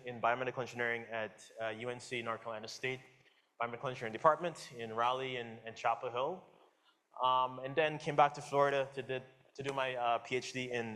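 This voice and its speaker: Man, 40s, slight caribbean accent, mid pitch, casual lecturer, chats with the crowd, lots of ums. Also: a bit bright. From Guyana, raised in Jamaica. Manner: casual, friendly, asks audience questions, many fillers and restarts. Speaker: guest lecturer, professor. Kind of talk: public science talk.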